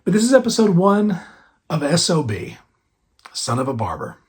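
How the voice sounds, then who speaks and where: Hoarse chatty man hosting a podcast. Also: a little high, wildly swooping pitch, normal speed, heavy background noise. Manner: rambling, chatty, frequent fillers and restarts. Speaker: barber and podcast host. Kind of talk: casual solo podcast chat.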